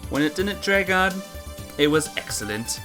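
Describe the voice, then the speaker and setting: Very deep-voiced woman streaming a game. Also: expressive, normal speed, fairly bright tone. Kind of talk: visual novel read-aloud and casual chat. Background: music.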